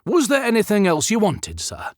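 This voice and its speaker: A man's voice: high-pitched, huge pitch swings, normal speed, no room sound, clean studio sound, voice pushed up. A man voicing a deferential, formal male mill manager in an audiobook. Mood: puzzled deference. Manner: obsequious, formal.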